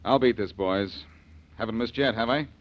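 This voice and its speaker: An actor playing a cool, wisecracking detective in a 1940s radio drama, sardonic and unruffled. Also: male voice, on the low side, moderate pace, natural voice. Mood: wry, confident. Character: cool, wry, wisecracking accused male detective. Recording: dull old 1940s radio recording.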